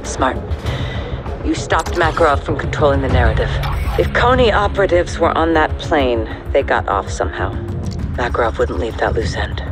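An actor playing a calm, concise, sympathetic military officer in a video game cinematic. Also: male voice, medium pitch, normal speed, close to an everyday voice. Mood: calm, approving. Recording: music behind.

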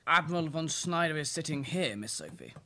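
A woman's voice: low voice, lively intonation, brisk, sharp tone. A woman acting a deferential, formal male butler in an amateur radio play, repeating himself obligingly. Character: James, deferential elderly male English butler.

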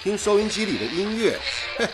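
A cheerful, easygoing radio engineer in a wartime drama. His voice is high and lively, breezy in delivery, with music clearly behind it. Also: a little fast, low-quality recording. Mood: upbeat. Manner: breezy, jocular.

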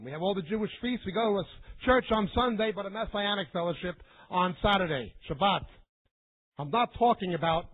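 This is a man preaching as a Bible teacher, with a high-pitched and husky voice. He is emphatic and didactic, hammering points home by repeating them. The recording is thin and narrow, like a telephone line. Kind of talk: sermon-like teaching lecture to a live audience. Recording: narrow, phone-like band.

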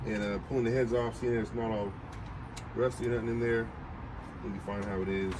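A man's voice: lowish voice, fairly even intonation, brisk. A man hosting a car restoration video, chatting casually and slangily while he works outdoors. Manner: informal, slangy. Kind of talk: casual chat while working. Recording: loud outdoor car yard noise.

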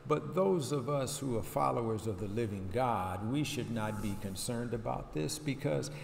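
An older male pastor delivers a sermon with measured emphasis and deliberate pauses. His voice sits at a middle pitch and rings through a roomy, reverberant church, with a faint hum of noise behind it. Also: vocal fry. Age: 60s or older. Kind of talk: sermon with scripture reading. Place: wood-panelled church sanctuary, indoors. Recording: echoey church hall, steady hum.

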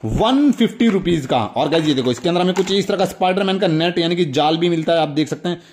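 A man's voice: on the high side, animated, fast, darkish tone. An Indian man hosting a toy review, enthusiastically showing items and repeatedly addressing his viewers. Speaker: toy review channel host. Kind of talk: excited toy unboxing commentary.

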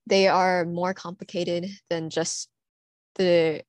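A woman's voice: fairly low pitch, little pitch movement, darkish tone, no room sound. A teenage girl from California giving a prepared student presentation at an online conference, earnest and reading steadily from her slides. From California, USA. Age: teens. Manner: earnest, reads from slides with small pauses. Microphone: computer mic, online conference.

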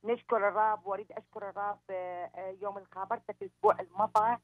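A woman phones in to a prayer program to give thanks. She talks fast with hesitant fillers. Her voice sounds dry and close, with no room around it. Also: medium pitch, fairly even intonation, no room sound, phone-quality sound. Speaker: caller. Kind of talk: phone-in testimony.

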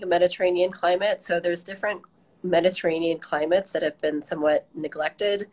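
A woman's voice: deep voice, rough recording. A middle-aged woman with an American accent answering interview questions reflectively over a video call from a room at home. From Southern California, US. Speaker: interview guest, garden director. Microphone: video call, device at arm's length.

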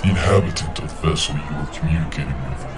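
A man's voice: very deep voice, fairly even intonation, fast talker, crowd noise, background noise. A man playing a possessed, demonic cult prophet in a horror audio drama, preaching pompously in archaic, menacing language. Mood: cold menace. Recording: loud music behind.

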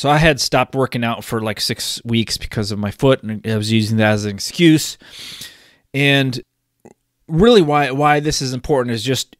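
A man hosting his own podcast, hoarse from a cold, talking casually with frequent restarts and throat clearing. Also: medium pitch, no room sound, clean studio sound. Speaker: podcast host. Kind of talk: casual solo podcast talk.

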